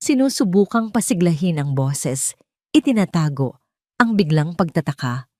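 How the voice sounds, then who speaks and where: A woman reading a scripted dramatic story as narrator, in a measured, suspenseful way. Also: lowish voice, expressive, a little mellow, no echo, clean studio sound. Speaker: narrator of audio drama stories.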